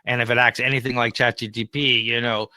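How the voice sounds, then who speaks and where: A man talks as a podcast co-host in a casual, opinionated way. His voice is bright and forceful with a smooth, clean tone. He explains things conversationally with examples, and the sound is dry. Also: medium pitch, little pitch movement, fast talker, no room sound.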